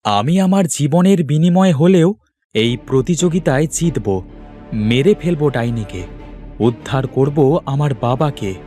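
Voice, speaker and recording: A man's voice: fairly high pitch, lively intonation, unhurried, warm tone, faint music behind. Man voicing a brave, earnest young son. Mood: determined. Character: brave, determined young son searching for his lost father. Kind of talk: Bengali cartoon story dub, dramatic.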